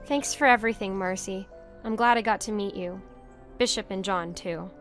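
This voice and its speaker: A woman's voice: medium pitch, normal speed, fairly bright tone, faint music behind, close to an everyday voice. A woman playing a naive, curious and earnest young wanderer in an audio drama. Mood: tentative, grateful.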